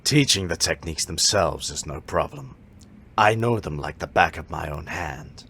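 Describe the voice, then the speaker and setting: A man acting a deep, husky old martial arts master. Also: a bit bright, heavily acted. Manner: formal, measured, musing. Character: elderly male martial arts master, wise and deliberate. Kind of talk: audio drama acting.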